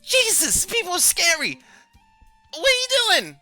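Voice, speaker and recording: A man's voice: very high-pitched, big pitch swings, fast talker, pushed and bright, no echo, faint music behind, pitched above the performer's own voice, exaggerated voice. A man voicing a small, skittish kobold creature in a jittery, apologetic way for a tabletop game. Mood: panicked.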